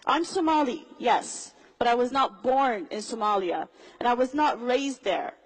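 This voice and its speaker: A young woman giving a public speech to a live audience, rallying the crowd with questions and repeating lines for emphasis, in a husky voice. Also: a little high, expressive, unhurried, low-quality recording. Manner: rhetorical repetition. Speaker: speaker.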